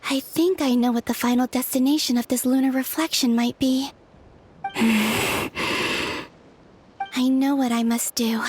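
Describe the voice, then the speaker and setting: A woman voicing a gentle, pensive young moon goddess in a video game, speaking softly in measured, introspective monologue. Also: sits high, steady tone, slight background noise. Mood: realizing, resolved.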